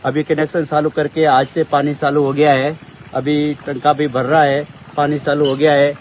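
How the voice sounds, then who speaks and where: A man's voice: fairly high pitch, little pitch movement, normal speed. A local man giving a plain, repetitive account to a reporter outdoors. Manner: repetitive, simple phrasing. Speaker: local villager interviewed. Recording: outdoor interview with background noise.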